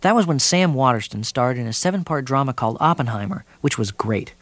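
A man delivering a scripted broadcast review of a TV movie in a measured, dryly wry way. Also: mid-range voice, lively intonation, no echo. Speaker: TV critic.

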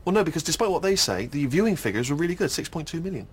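A man's voice: fairly high pitch, big pitch swings, rapid-fire, a little hiss or hum, voice pushed up. An actor with a West Country English accent playing an offhand, evasive and clueless male talent agent. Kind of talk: sitcom acting.

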